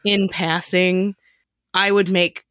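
A woman with a fairly low voice chats casually as a podcast co-host. She retells things informally, slipping in quoted remarks, in a dry-sounding recording. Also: little pitch movement, slow, fairly bright tone, smooth, no echo. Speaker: podcast co-host and sketch writer. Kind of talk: casual chat, storytelling.

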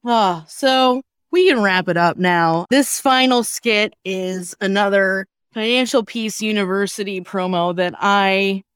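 Female podcast host, casual and joking, mid pitch, dry close mic. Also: measured pace, hardly any pauses, a bit bright, clear, resonant tone, dry recording. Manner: tongue-in-cheek, joking. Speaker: podcast host and sketch writer. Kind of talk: casual chat.

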